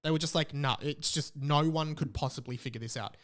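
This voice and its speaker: A young Australian man with a fairly high voice hosts a podcast. He rants casually and comically, exaggerating and hammering points home by repeating them. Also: fairly quick. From Melbourne, Australia. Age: late 20s. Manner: animated, exaggerating, emphatic repetition. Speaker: podcast host. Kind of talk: casual comedic rant.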